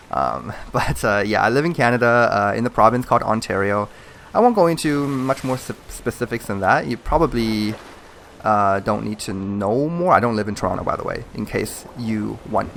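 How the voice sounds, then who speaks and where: A man's voice: on the low side, gravelly, a bit bright, a little hiss or hum. A man born in mainland China, a native Mandarin speaker with a Chinese accent, chatting in a rambling, hesitant way with lots of fillers while commentating a game he's playing. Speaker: gaming channel host. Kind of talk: casual gameplay commentary.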